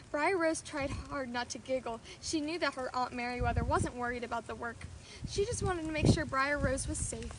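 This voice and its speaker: Very high-voiced woman reading a storybook. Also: noisy background. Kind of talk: children's storybook read aloud.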